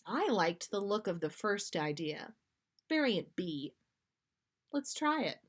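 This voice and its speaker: A cheeky, coaxing boyfriend, played by a woman reading fan fiction aloud. The delivery is slow and teasing, with a playful, wheedling manner. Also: medium pitch, big pitch swings.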